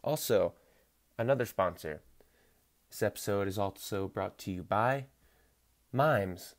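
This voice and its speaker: Host, male, deep voice, nasal and stuffed up, loose rambling delivery with dry humor. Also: expressive, measured pace. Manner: rambling, loses his thread and corrects himself mid-sentence. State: stuffy nose from allergies. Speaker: podcast host. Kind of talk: casual solo sports podcast.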